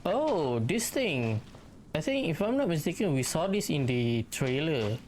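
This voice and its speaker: A woman's voice: low voice, sing-song, measured pace, noisy background. A woman giving laid-back, casual let's-play commentary on a video game, muttering reactions and reading lines of dialogue aloud. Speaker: gaming channel host playing through a story quest. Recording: game music behind the voice.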